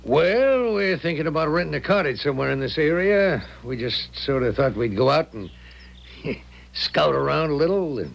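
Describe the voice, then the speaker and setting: An actor playing a bossy, shifty small-time American crook in a radio drama, tough-talking and evasive. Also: male voice, fairly high pitch, lively intonation, normal speed, vocal fry, lo-fi sound. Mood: smooth, evasive. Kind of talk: 1970s radio drama acting.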